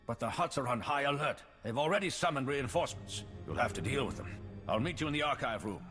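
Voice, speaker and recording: An actor playing an anxious civilian official in a video game, earnest and placating in manner. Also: male voice, mid-range voice, animated, fast talker, long reverb tail, music in the background, close to an everyday voice. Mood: earnest, resigned. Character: anxious male civilian official, pleading and pragmatic appeaser. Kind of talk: scripted game acting.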